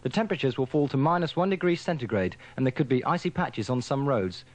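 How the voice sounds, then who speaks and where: A man's voice: mid-range voice, animated, fast talker. A man reading a scripted radio bulletin as a weather forecaster.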